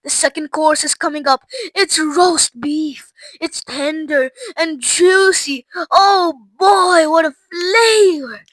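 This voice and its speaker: A woman's voice: high-pitched, sing-song, slow, fairly bright tone, dry recording, voice pushed up, heavily acted, poor audio. A woman voicing a gleeful, boastful young girl in a storybook, savoring and drawing out her exclamations. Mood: savoring, delighted.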